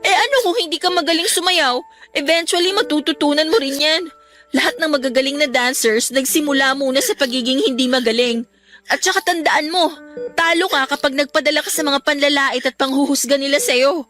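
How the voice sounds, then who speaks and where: A woman's voice: sits high, brisk, a bit bright. A Filipino woman playing a caring, forgiving teenage best friend in a radio drama, earnest and pleading. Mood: gently encouraging. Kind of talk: Tagalog radio drama acting. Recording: music bed under the voice.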